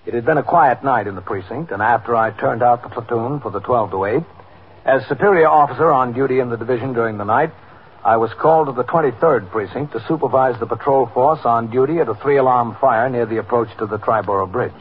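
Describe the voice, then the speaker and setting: An actor playing an authoritative, matter-of-fact police captain narrating a scripted 1950s radio drama. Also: male voice, medium pitch, fairly quick, lightly acted. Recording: tinny, noisy 1950s radio broadcast.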